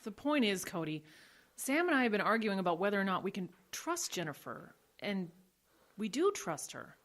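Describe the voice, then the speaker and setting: Curious young woman, blunt, lively pitch. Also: normal speed. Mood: earnest. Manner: blunt, inquisitive. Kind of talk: audio drama acting.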